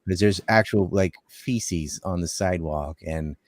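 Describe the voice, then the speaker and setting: A man with a low voice talks casually on a panel. His manner is offhand and wry, and the sound is dry, without room echo. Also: measured pace. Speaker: podcast panelist. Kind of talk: casual chat.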